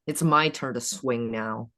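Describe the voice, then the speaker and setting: A woman's voice: rumbling low, takes it slowly, bright, forceful tone, heavily acted. A woman playing a tough, taunting beauty queen fighting a killer in a screenplay table read. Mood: defiant, taunting.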